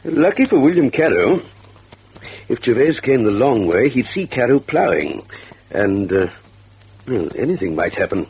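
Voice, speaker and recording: A man playing a grave, gentlemanly chief constable with an English accent, speaking hesitantly in a radio drama. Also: mid-range voice, big pitch swings, unhurried, pauses often, slightly rough, full character voice. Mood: uneasy. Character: gentlemanly, concerned male county chief constable. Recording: muffled old radio recording.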